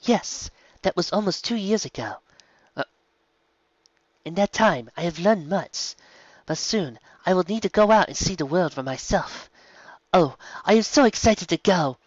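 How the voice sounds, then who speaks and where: Young zebra girl played by a man: excitable, inquisitive, earnest storybook character, pitched up, dry muffled recording. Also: a little slow, long pauses, no room sound. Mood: excited, earnest. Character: young female zebra, eager, curious, naive.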